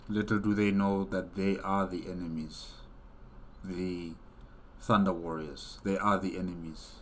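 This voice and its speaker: A man's voice: low-pitched, fairly even intonation, measured pace, fairly bright tone, a little hiss or hum. A man hosting a reaction video with casual, off-the-cuff commentary.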